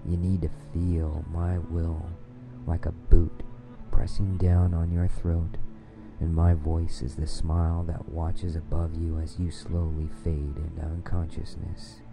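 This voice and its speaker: Very deep, gravelly, commanding man over music. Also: flat delivery, poor audio. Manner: commanding, domineering, deliberate repetition. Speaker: dominant hypnosis narrator. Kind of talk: scripted erotic domination hypnosis monologue.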